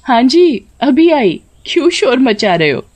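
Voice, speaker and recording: A woman voicing a caring village wife who speaks in a homely, concerned way in an animated story. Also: high voice, unhurried, pitched above the performer's own voice, heavily acted. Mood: delighted.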